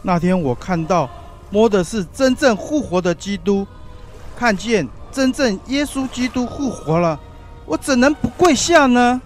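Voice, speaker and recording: A man's voice: high voice, lively intonation, a little slow, soft background music. An actor playing an awed, fervent male fisherman disciple in a biblical radio drama, speaking in earnest exclamations. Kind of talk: Chinese-language biblical radio drama acting.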